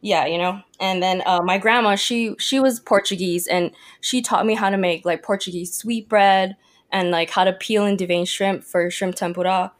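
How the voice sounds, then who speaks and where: A woman's voice: mid-range voice, fairly even intonation, moderate pace. A young woman from Hawaii, a cook, chatting as a podcast guest in a friendly, casual way, full of "like" and "uh". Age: young adult. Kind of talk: casual interview chat.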